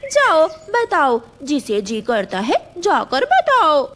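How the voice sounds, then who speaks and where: A woman's voice: high voice, huge pitch swings, slow pace, fairly bright tone, exaggerated voice. A woman voicing a quarrelsome, sly female crow in a children's cartoon, defiant and given to whining. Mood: brazen defiance. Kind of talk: children's cartoon story dub.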